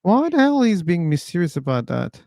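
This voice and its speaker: A man's voice: sits high, very animated, moderate pace, gravelly, dark tone, no echo, studio quality. A man hosting a live discussion stream in casual chat, easygoing, often laughing and restarting words.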